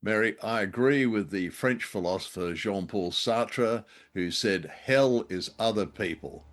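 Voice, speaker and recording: A man's voice: on the low side, a little slow. A man co-hosting a podcast, musing and a little hesitant as he casually reviews radio dramas.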